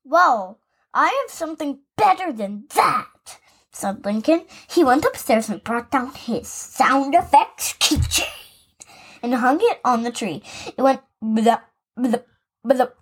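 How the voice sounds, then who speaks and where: A woman reading a children's picture book aloud as podcast host, in an animated, expressive way with asides. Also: sits high, takes it slowly, slightly rough. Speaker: story reader, podcast host.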